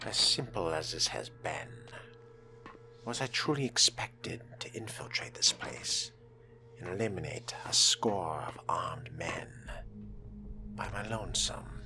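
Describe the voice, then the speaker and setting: A man playing a brooding, menacing young fledgling vampire in a game roleplay, murmuring in deliberate, formal words. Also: low-pitched, lively intonation, pushed and bright, whispered, heavily acted. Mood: wry musing. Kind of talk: in-character game roleplay narration. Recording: music behind the voice.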